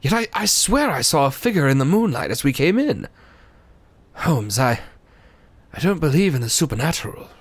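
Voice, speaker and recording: A man playing an excitable, loyal doctor sidekick in a radio play, flustered and prone to startled exclamations. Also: fairly high pitch, moderate pace, no room sound. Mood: weary, uneasy. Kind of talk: community radio theater acting.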